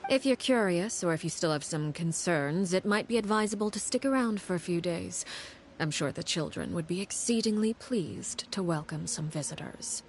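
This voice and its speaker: A woman playing a composed, commanding leader in a video game, speaking in a measured, formal, coolly authoritative way. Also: fairly low pitch, expressive, slight background noise. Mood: composed, matter-of-fact. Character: composed, commanding woman leading a secretive orphan house. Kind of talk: dramatic video game voice acting.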